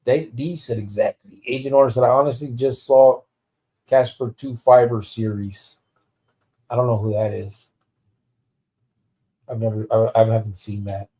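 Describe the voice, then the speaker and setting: A man's voice: mid-range voice, steady tone, normal speed, long pauses, dark tone. Guy from the us on a live podcast, blunt street talk, cusses, mixes in slang. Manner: slips Spanish slang into English. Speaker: podcast host. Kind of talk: live stream casual chat, reading out viewer comments.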